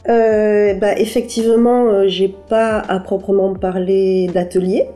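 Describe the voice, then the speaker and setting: A woman artist answering questions in a halting, conversational way, with many fillers and restarts. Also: mid-range voice, fairly even intonation, warm tone, faint music behind. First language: French. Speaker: interviewed artist. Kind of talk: hesitant conversational interview. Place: her apartment.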